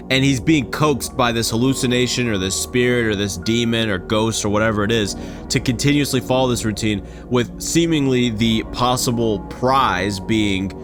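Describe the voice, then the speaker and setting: A man giving casual reaction commentary, animated and thinking aloud in a rambling way, as a video creator. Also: medium pitch. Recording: loud music under the voice. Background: background music from games.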